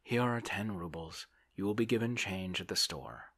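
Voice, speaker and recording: A man's voice: low voice, normal speed, a bit bright, pitched above the performer's own voice. A man voicing a brash, grasping, bullying character in an audiobook, blustering and scolding in a bossy manner. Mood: scornful, demanding. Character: brash, bullying, grasping Russian man, hectoring his friend.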